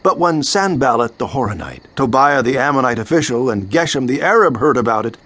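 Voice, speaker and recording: A man's voice: mid-range voice, lively intonation, a little fast, fairly bright tone. A man playing Nehemiah, narrating in the first person with earnest, declamatory delivery in a dramatized Bible.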